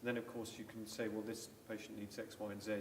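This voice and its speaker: A man who works with patients at a hospital, explaining clinical examples on a panel in a measured way. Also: on the low side, flat delivery. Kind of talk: panel discussion. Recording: echoey room, distant mic, faint noise.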